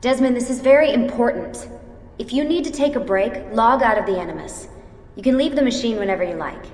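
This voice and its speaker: A woman's voice: a little high, lively intonation, a little fast, darkish tone, husky. A woman playing a serious, businesslike leader of an assassin team in a video game. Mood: earnest. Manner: businesslike, earnest, focused. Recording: hall reverb, faint music behind.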